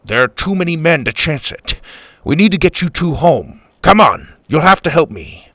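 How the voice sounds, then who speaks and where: A man's voice: on the high side, big pitch swings, normal speed, a bit bright, no room sound, pitched above the performer's own voice. A man voicing an urgent old grandfather who grunts and huffs through an adventure story.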